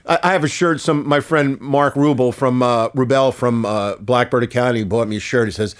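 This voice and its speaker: A man's voice: medium pitch, steady tone, a little fast, no room sound. A man, probably in his sixties, with a New York-area accent, chatting animatedly and emphatically as a podcast guest into a close studio mic. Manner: emphatic, talks with his hands. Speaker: podcast guest, recording engineer. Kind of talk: animated anecdotal conversation. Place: wood-panelled recording studio. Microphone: close studio microphone on boom.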